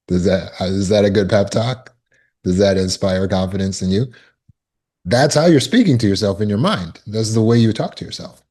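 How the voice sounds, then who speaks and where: Slow, very low-voiced male coach. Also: darkish tone. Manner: slow, deliberate coaching with repeated affirmations. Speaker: trauma recovery coach. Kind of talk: self-help teaching talk.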